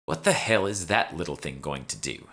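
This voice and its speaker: A man's voice: rumbling low, lively intonation, normal speed, a bit bright. A man voicing an exasperated, sarcastic woman who plays an overworked lackey in an audiobook. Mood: irritated. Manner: sarcastic, profane.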